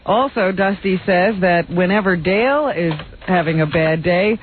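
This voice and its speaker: A man's voice: sits high, normal speed, a little hiss or hum. A man co-hosting a radio show, reading celebrity news in a casual, giggly way. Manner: giggly, laughs often while reading items. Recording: tinny phone-like radio broadcast.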